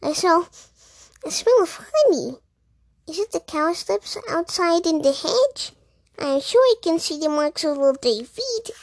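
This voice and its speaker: A woman playing a very particular little mouse who can't abide dirt. Her voice is high and squeaky, and her scolding is quick and indignant, recorded close with no room sound. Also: expressive, no echo, full character voice. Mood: suspicious. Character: fussy, very tidy little female mouse.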